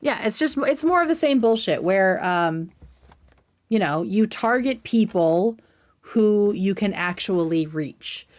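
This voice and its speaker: A woman's voice: mid-range voice, lively intonation, takes it slowly. A woman hosting a livestream, giving informal, opinionated commentary and explaining her points at length. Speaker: livestream host. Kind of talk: casual commentary reacting to clips.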